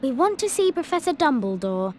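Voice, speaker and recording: A woman's voice: high voice, sing-song, brisk, darkish tone, a little hiss or hum. An actress playing a bookish, bossy young schoolgirl witch in a video game, earnest and precise. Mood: excited, impatient. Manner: earnest, precise, know-it-all. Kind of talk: video game cutscene acting.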